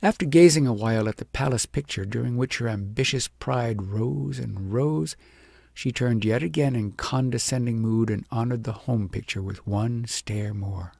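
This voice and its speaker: A man narrating an audiobook in an expressive storybook style, with dramatic, deliberate phrasing. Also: medium pitch, gravelly, slightly rough, no echo, clean studio sound.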